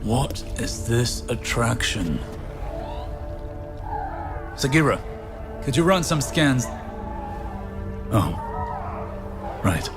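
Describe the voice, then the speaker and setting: Scholarly mystic for game cutscene, reflective and measured, medium voice. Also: male voice, mid-range voice, sing-song. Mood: distracted, sombre. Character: brooding, scholarly man pondering cosmic mysteries. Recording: music behind.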